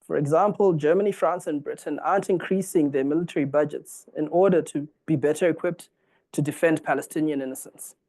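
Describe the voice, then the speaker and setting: A man from Zimbabwe in his forties delivers a formal public lecture. His voice sits a little high for a man, and he reads in a measured, earnest way, lifting key words for emphasis. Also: warm tone, rough recording.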